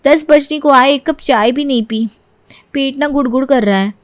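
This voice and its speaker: Woman voicing a coy, very high-pitched young bride. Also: moderate pace, no echo, close to an everyday voice. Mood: agreeing. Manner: mock-innocent, self-justifying. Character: stubborn, coy young daughter-in-law dodging housework. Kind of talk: cartoon moral story voice acting.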